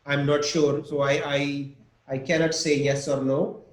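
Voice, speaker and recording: A man leads an online English class at an unhurried pace, patiently walking through examples and asking his students questions. His voice sits a little high, and the recording carries an echo with faint background noise. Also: level tone. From Pakistan. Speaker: English teacher. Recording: echoey room with faint noise.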